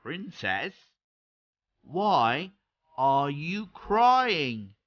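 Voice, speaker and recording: A narrator voicing a small, earnest talking frog in a children's storybook, deliberate and entreating. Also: medium pitch, very animated, lo-fi sound, exaggerated voice. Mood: curious.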